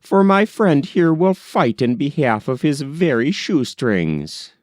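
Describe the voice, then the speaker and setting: A frank young gentleman from a storybook voiced by a male narrator, cheerful and full of banter. His pitch lifts and swings as he teases, in a dry, close recording. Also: very animated, takes it slowly, dry recording. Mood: teasing.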